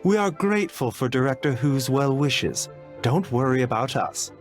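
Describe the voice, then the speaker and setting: A man playing a soft-spoken, calm doctor. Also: mid-range voice, moderate pace, soft background music, natural voice. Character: calm, gentle male physician, polite. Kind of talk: video game voice acting.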